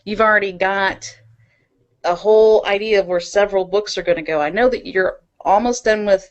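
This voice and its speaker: A woman's voice: mid-range voice, moderate pace, lo-fi sound. A woman hosting a podcast and interviewing in a casual, conversational way. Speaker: podcast host.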